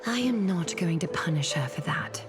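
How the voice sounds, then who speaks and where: Woman acting a low-voiced, regal, composed noblewoman. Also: animated, over music, lightly acted. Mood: gracious, solemn. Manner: stately, formal, deliberate phrasing. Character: composed, regal noblewoman, authoritative yet gracious. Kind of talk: scripted video game voice acting.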